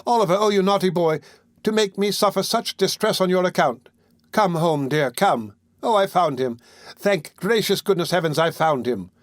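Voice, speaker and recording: A man's voice: high-pitched, normal speed, no room sound, studio quality, pitched above the performer's own voice, natural voice. A man reading aloud and voicing a theatrical young woman who wails and pleads. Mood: wailing. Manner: pleading, tearful outbursts.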